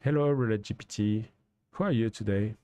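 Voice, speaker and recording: A man hosting a livestream, talking in a hesitant, halting way with many fillers. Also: on the low side, mellow, smooth, no room sound. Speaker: host testing a chatbot. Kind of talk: casual livestream talk.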